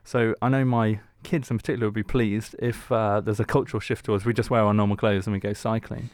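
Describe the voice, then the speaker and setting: A man's voice: lowish voice, flat delivery, quick pace, darkish tone, no echo, clean studio sound. A middle-aged man co-hosting a conversational interview, informal and a little hesitant in his phrasing. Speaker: interview co-host.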